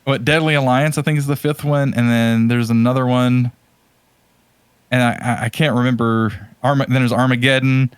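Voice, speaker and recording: A man with a medium-pitched voice chats casually as a podcast co-host. He is enthusiastic and tends to ramble, working out his thoughts as he goes. The sound is dry and close. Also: steady tone, normal speed, smooth, dry recording. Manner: rambling, thinking aloud, enthusiastic. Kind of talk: casual chat about video game movies.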